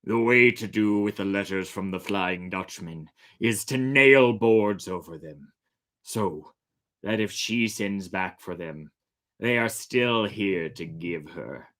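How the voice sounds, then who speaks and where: A man voicing a stern, commanding old-time sea captain in a storybook, speaking in a measured, deliberate way. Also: on the low side, fairly bright tone, pitched below the performer's own voice. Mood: grave warning. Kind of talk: storybook character reading.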